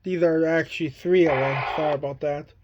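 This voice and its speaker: A man casually showing items from a collection in a plain, halting, item-by-item way. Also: a little high, steady tone, dull audio, background noise. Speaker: collector showing weather radios. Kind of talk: casual show-and-tell vlog.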